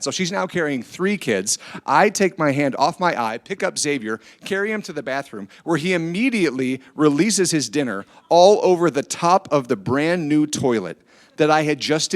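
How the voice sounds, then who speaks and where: A middle-aged American man giving an informal motivational talk, telling stories conversationally and often checking in with his listeners. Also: a little high. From Iowa, United States. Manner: conversational, animated storytelling, seeks agreement with tag questions. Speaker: speaker at a church family event. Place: church, speaking to a gathered group.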